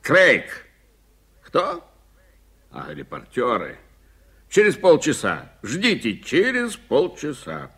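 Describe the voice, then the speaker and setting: A brusque, husky, swooping gentleman. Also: mid-range voice, wildly swooping pitch, takes it slowly, pauses often, full character voice. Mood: irritated, impatient. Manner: brusque, exasperated, commanding. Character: irritable, impatient gentleman suspect, uncle of a nephew. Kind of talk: Soviet radio play acting.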